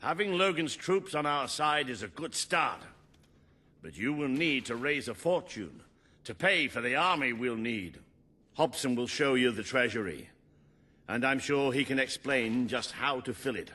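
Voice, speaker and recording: An earnest, loyal army commander counsels a ruler in a fantasy game. The voice is bright and forceful, sitting at a middle pitch. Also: male voice, animated, a little slow. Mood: earnest, resolute. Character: earnest, loyal military commander advising the king. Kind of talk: video game cutscene dialogue.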